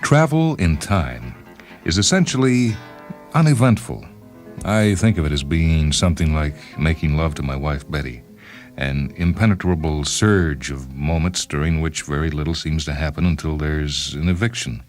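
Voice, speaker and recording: Very deep, deadpan disgruntled inventor, read by a man. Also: moderate pace, faint music behind. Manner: deadpan, wry, fussily verbose. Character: disgruntled, self-justifying inventor, a married time traveller. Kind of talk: first-person dramatised short-story reading.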